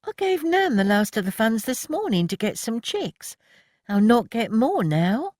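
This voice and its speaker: High, blunt young woman, read as a storybook part, quick to grumble. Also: very animated, darkish tone, no echo, clean studio sound. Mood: dejected. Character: spirited young woman allotment worker.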